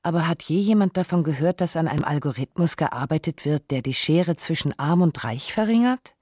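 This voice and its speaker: Mellow, close-up documentary narrator. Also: medium pitch, lively intonation, dry recording. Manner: measured, essayistic, rhetorical questions.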